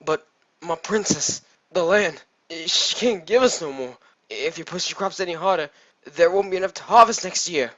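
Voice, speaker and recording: A man's voice: high-pitched, normal speed, a bit bright, dull audio. A man voicing a meek, downtrodden old peasant pony who pleads, in a dramatic story reading. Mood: pleading. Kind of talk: dramatic fan fiction reading.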